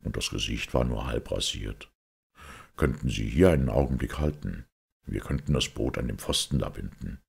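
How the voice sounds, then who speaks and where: A shrewd, pensive priest detective from an audiobook , voiced by a male reader. He is extremely deep and full of gravel, explaining his deductions calmly and deliberately. The voice is very husky. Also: exaggerated voice. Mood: thoughtful.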